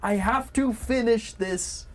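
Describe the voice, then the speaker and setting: A woman's voice: on the high side, slow. A woman chatting casually on a podcast in a blunt way, repeating words for emphasis. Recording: noticeable background noise.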